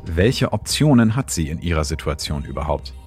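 A man's voice: extremely low pitch, sing-song, normal speed, a little mellow, faint music behind. A man reading scripted documentary narration as the narrator of a programme.

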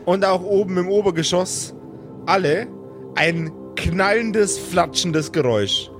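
A man's voice: high voice, measured pace, over music. A man working as game master, narrating an improvised tabletop role-playing audio play.

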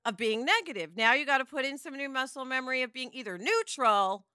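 Female podcast co-host, forceful bright tone, emphatic and informal, high register. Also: a little fast, smooth, no echo, clean studio sound. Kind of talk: casual conversational podcast talk.

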